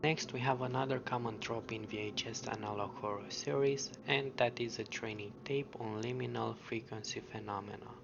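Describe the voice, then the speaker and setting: A man's voice: medium pitch, fairly even intonation, normal speed, few pauses. A man narrating an explanatory video commentary, mostly scripted with casual asides, on a muffled, noisy lo-fi recording. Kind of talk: explanatory commentary on a horror web series. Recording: muffled lo-fi audio with clear background noise.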